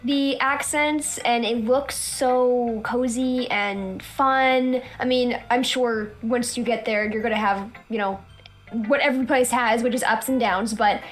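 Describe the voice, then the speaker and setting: A woman's voice: on the high side, steady tone, measured pace, soft background music. A woman hosting a casual livestream, putting questions to her guests in an informal, chatty way. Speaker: livestream host asking questions. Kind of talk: casual question-and-answer chat.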